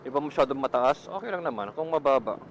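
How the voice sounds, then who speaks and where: A man's voice: mid-range voice, lively intonation, fast talker. Filipino man vlogging over road noise. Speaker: motovlogger testing gear. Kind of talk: casual vlog commentary while riding. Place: outdoors on a motorcycle on the road. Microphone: Bluetooth helmet intercom microphone. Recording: helmet intercom mic with wind, engine noise. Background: road and vehicle noise.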